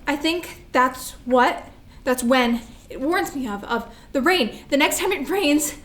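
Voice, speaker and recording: Actress as high-voiced, unstable, defiant younger sister. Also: female voice, expressive, a little slow, fairly bright tone. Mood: defensive, agitated. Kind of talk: staged reading of a play.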